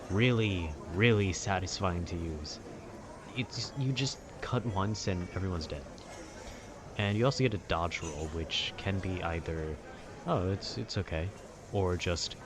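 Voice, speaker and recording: A man in a calm, casual, nerdy ramble about video games, meandering and often interrupting himself. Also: deep voice, background noise. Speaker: solo audio creator rambling to the listener. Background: urban ambience.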